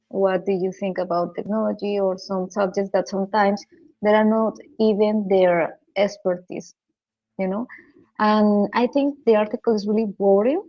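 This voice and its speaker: A woman's voice: mid-range voice, no room sound, muffled recording. A woman with a Colombian accent answering interview questions, speaking hesitantly with many fillers and restarts. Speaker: guest, physicist and data scientist.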